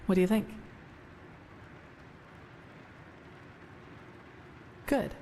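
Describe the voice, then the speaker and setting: Woman playing an earnest, mellow-voiced fantasy novice. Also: racing, slight background noise, close to an everyday voice. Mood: helpful. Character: earnest, thoughtful female novice of a holy order. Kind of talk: conversational fantasy game dialogue.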